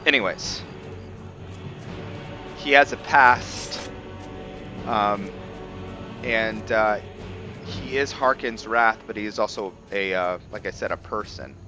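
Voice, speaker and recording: A man giving casual, reflective commentary over a roleplay video game, thinking aloud in a halting way. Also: lowish voice, music in the background. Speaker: gaming host.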